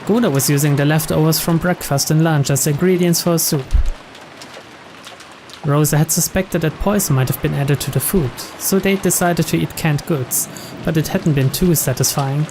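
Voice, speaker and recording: A man reading story text from a visual novel aloud as narration. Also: a little high. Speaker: let's player reading game text aloud. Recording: clear background noise.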